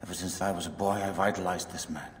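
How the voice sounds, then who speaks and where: A man's voice: deep voice, steady tone, long reverb tail, close to an everyday voice. A man voicing a stately Roman general in his fifties. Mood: wistful. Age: 50s. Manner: measured, stately and self-assured. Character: aging Roman general, measured and commanding.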